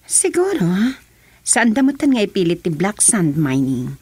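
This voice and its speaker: A woman's voice: medium pitch, sing-song, unhurried, very noisy. A woman with an Ilocano accent playing a composed lady in a radio drama.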